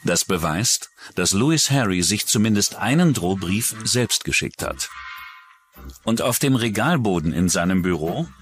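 A male documentary narrator delivers scripted true-crime material. His voice sits fairly low and moves with lively intonation. Soft music plays faintly behind him. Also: measured pace.

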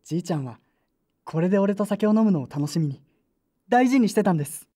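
A woman's voice: mid-range voice, animated, fast talker, dark tone, breathy edge. A woman playing an earnest, polite boy in a Japanese audio drama. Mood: earnest, wistful.

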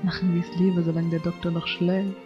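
A woman's voice: lowish voice, little pitch movement, a little fast, lightly acted. A woman voicing an earnest, fussy teenage boy obsessed with order in a German fan-dubbed anime audio drama. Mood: eager. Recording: dull lo-fi audio with music.

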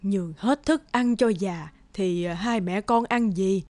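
Woman playing a slow, frail old beggar woman. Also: medium pitch, a little mellow, dry recording, close to an everyday voice. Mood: sorrowful. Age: older. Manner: slow, pleading, halting. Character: frail, humble old beggar woman, secretly wise spirit. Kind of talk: animated folk-tale acting.

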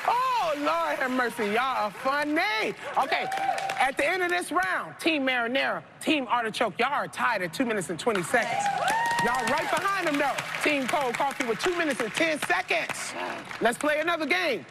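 A woman in her thirties hosting a TV game show, playful and quick with slang and jokes. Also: high voice, vocal fry, a crowd in the background, noisy background. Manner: playful, lively, Southern-tinged slang like y'all. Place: large studio set of a supermarket. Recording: TV broadcast over loud music. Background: loud game show music.

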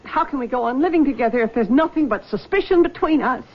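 A tearful haunted young nurse. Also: female voice, high voice, expressive, fast. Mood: accusing. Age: young adult. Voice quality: trembling, tearful. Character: anguished young woman, a nurse, haunted and fragile. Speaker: radio drama actress. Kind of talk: 1970s radio drama acting. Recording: dull old radio broadcast.

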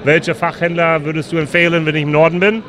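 An American-accented man in his forties, a native English speaker, hosts a casual interview. His voice is slightly higher than average. He is informal, encouraging and quick to joke, holding a handheld mic. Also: level tone, a bit bright. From United States. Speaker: interviewer, whisky video host. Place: whisky fair stand. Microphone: handheld microphone.

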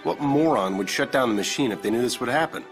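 A man with an American accent playing a cocky, decisive young starship captain in a sci-fi game, brisk, wry and commanding. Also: mid-range voice, expressive, lightly acted. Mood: impatient. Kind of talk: scripted sci-fi game acting. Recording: music loud under the voice.

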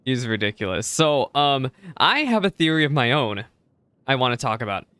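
A man's voice: mid-range voice, sing-song, moderate pace, pushed and bright, smooth, no room sound. A young man giving casual live reaction commentary as a streamer, close on the mic. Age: young adult. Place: indoor streaming setup. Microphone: close desk microphone.

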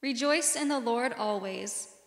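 Young female worship leader, formal liturgical reading, higher pitch, long church reverb. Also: unhurried. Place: church sanctuary at a lectern.

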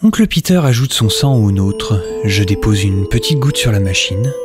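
Male actor young wry hero, animated intonation, audio drama narration and dialogue, prominent music. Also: lowish voice, normal speed. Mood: narrating, reflective.

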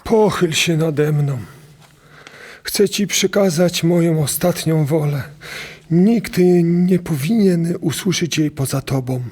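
A man acting a dying medieval duke in an audio drama, solemn and grave, with a strained, halting delivery. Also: a little high, a little mellow. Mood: solemn, urgent. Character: dying medieval duke, solemn, giving his last will.

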